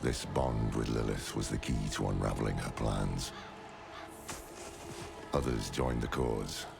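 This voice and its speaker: A world-weary old chronicler narrating a fantasy game. His voice is extremely deep and heavily gravelled, gruff and sombre, with loud cinematic music behind him. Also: measured pace, full character voice. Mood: weary, grave. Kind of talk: scripted dramatic game narration.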